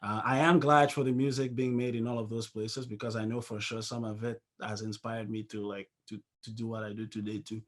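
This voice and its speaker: A man's voice: fairly low pitch, flat delivery, a little fast, hardly any pauses. A man in his thirties from Cameroon in a casual question-and-answer conversation on a video call, speaking thoughtfully and often restarting as he searches for words. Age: 30s. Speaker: musician and interview guest.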